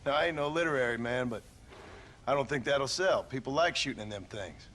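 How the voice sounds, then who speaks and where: An actor playing a gruff, stern Western rancher and father in a game, blunt and sardonic, with an American frontier drawl. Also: medium pitch, expressive, a little fast, a bit bright, a little hiss or hum, natural voice. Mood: wry fatherly. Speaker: lead character in a game. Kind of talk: dramatic game cutscene acting.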